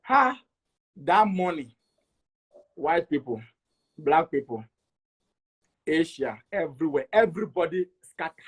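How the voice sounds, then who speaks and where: Higher-voiced Togolese man telling his story. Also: slightly rough. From Togo. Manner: repeats words for emphasis, acts out quoted dialogue. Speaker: interview guest. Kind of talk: animated personal storytelling.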